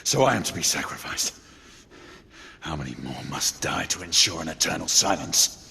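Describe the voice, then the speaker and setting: An actor playing a stern, wronged knight in a video game drama, gravelly, formal and intense. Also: male voice, very deep voice, animated, normal speed, sharp tone, heavily acted. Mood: grave, pleading. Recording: long hall reverb, clear background noise.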